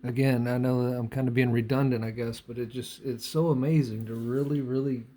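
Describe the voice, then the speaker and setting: A man giving an unscripted spiritual monologue in halting, deliberate phrases with pauses and repetition. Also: mid-range voice, fairly even intonation, warm tone. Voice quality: frequent throat clearing. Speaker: spiritual speaker.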